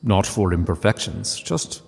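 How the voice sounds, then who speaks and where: A man narrating a scripted literary story in a solemn, measured way with dramatic pauses. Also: lowish voice, lively intonation, gravelly, in a large hall.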